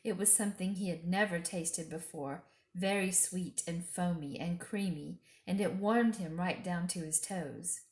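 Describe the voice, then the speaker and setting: A woman reading a children's book aloud as an expressive storyteller. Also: fairly low pitch, a little slow, few pauses. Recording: roomy echo.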